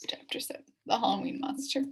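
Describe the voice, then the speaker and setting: A woman reading a children's story aloud in an expressive, dramatic way, dropping into hushed suspense at times. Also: fast talker, in a whisper. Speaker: story reader.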